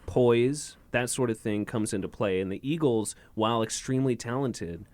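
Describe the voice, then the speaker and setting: Animated joking male sports host. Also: normal speed. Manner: animated, joking, big pitch jumps. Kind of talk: casual sports talk. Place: radio studio.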